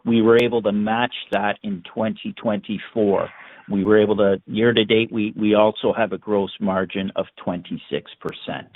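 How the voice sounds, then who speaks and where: Halting man on a tinny phone line. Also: lowish voice, fairly even intonation. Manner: halting, repeats words. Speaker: company finance executive. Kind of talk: answering analyst questions on an earnings call. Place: conference call.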